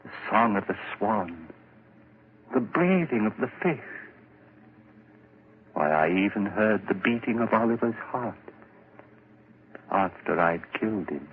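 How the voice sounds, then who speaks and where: Guilt-ridden madman, wildly swooping delivery, halting and stammering, old radio horror, dull noisy recording. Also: on the low side, lively intonation. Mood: insistent. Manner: halting, stammering, repeats himself. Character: agitated, guilt-ridden madman who hears too much. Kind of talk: 1940s radio horror acting.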